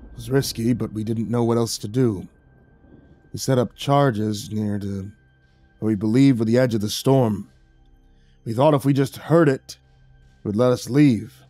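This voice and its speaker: A man's voice: medium pitch, unhurried, pauses often, mellow, dry recording, faint music behind, close to an everyday voice. A man voicing a young woman in a spoken horror story, bitter and full of guilt as she recounts a loss. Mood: grieving.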